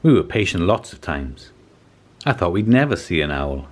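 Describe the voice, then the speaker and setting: A man reading a children's story aloud as scripted narration, in an expressive storytelling manner. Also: low-pitched, normal speed. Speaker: storybook reader.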